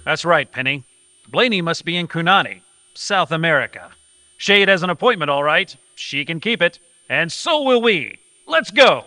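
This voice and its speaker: A man's voice: fairly high pitch, big pitch swings, normal speed, pushed and bright. A man playing a brave, commanding hero in a 1940s-style radio adventure serial. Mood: resolute. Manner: decisive, commanding. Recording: noisy live stage performance.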